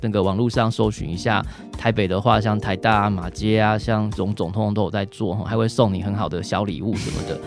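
A male doctor with a Taiwanese Mandarin accent talks quickly in a somewhat low voice. He gives informal advice, tagging phrases with little particles and acting out short examples. Soft guitar music plays behind him. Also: fairly even intonation, creaky. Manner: frequent trailing particles, role-plays examples in passing. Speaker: doctor, guest expert. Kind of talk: informal question-and-answer advice.

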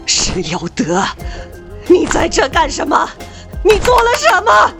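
A woman's voice: extremely high pitch, huge pitch swings, unhurried, fairly bright tone, faint music behind, heavily acted. A woman playing a solemn ceremonial village elder in an audio drama, stately and declamatory. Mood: shocked, accusing.

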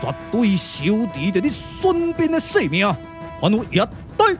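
A man's voice: high-pitched, big pitch swings, music in the background. A man voicing a proud, wrathful ancient Taoist immortal in a Taiwanese puppet-theatre radio drama, declaiming grandly with a Taiwanese accent. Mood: indignant, menacing. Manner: grandiose, declamatory, drawn-out chanting.

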